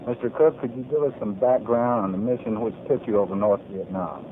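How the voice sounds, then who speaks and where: Tinny archival radio reporter. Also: male voice, on the low side, a little fast, slight background noise. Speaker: broadcast reporter. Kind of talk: news report and interview question.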